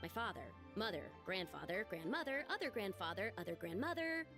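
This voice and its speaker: A woman's voice: mid-range voice, big pitch swings, brisk, music in the background. An actress playing an earnest, dramatic young lady with big ambitions in a video game, speaking expressively. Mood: glum, resigned.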